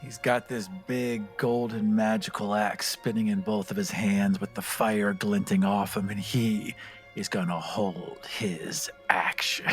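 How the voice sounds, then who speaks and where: A man's voice: on the low side, steady tone, unhurried, soft background music. A man playing a tabletop game, chatting casually in a jokey, self-deprecating and profane way.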